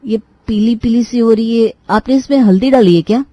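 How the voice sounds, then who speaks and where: A woman's voice: fairly high pitch, brisk, warm tone, voice pushed up, natural voice. A woman voicing a picky, blunt young brother-in-law who complains, in a cartoon moral story. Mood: complaining.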